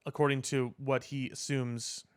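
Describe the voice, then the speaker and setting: A man's voice: mid-range voice, flat delivery, measured pace, clean tone. A man co-hosting a podcast, casually analysing pop culture in a wordy, explanatory way. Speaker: podcast co-host.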